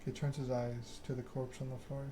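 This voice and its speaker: Male ASMR game narrator, mid-pitched, even and subdued storytelling, noticeable background noise. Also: normal speed. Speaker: gaming video creator.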